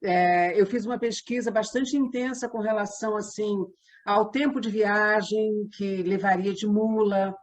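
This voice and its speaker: Brazilian female interview guest, medium pitch, conversational, reflective and detailed. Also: fairly even intonation, a little slow. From Minas Gerais, Brazil. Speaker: interview guest, radio drama writer and set designer.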